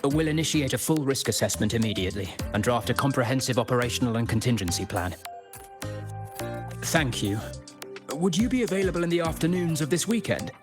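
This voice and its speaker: An actor playing a polite, businesslike operations official in a video game. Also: male voice, mid-range voice, expressive, brisk, natural voice. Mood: composed, businesslike. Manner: formal, businesslike. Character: polite, efficient, formal male operations official. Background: music.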